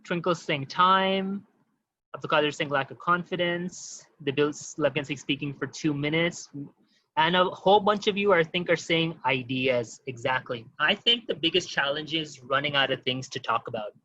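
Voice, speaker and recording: A man teaching an online exam-prep webinar, talking quickly and informally to his audience with many fillers. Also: a little high, pushed and bright, no echo, lo-fi sound.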